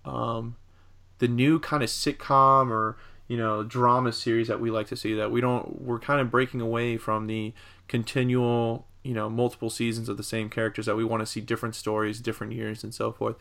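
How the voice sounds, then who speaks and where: A man co-hosting a casual podcast discussion about entertainment, talking in a halting, rambling way. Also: medium pitch, level tone, vocal fry, a bit bright. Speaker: podcast co-host.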